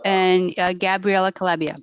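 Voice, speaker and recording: A woman council member briskly reading names for a roll call. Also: lowish voice, little pitch movement, clear, resonant tone, no room sound, dull audio.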